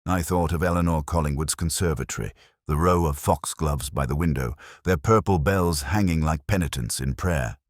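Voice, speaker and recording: A man playing a weary, cynical police inspector, narrating a detective drama in a measured, deliberate, reflective way. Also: rumbling low, no echo, studio quality. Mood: quietly troubled. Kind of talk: scripted first-person detective drama narration.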